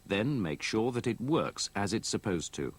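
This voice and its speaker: Low-voiced male training narrator, slightly husky. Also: big pitch swings. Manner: measured, explanatory, methodical. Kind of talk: scripted instructional narration. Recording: old VHS tape copy.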